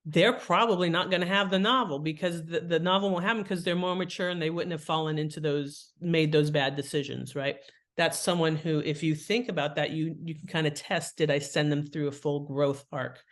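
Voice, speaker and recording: A woman's voice: deep voice, fairly even intonation, fairly quick. Female guest author, conversational interview delivery, instructive, example-led. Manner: instructive, illustrates points with examples. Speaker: guest author and writing teacher.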